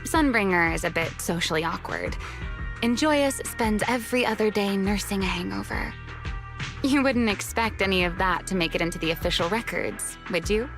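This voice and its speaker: A woman's voice: medium pitch, normal speed, lightly acted. Actress doing serene playful lady hunter from a video game, polite lil tease, music playing behind her. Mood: playful, teasing. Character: serene, teasing, century-old legendary woman hunter. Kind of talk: video game story dialogue.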